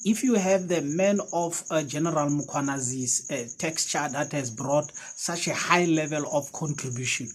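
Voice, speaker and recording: A man's voice: on the high side, breathy edge, low-quality recording. A man from South Africa, a Sesotho speaker with a South African accent, giving a casual political commentary to camera with many fillers and restarts.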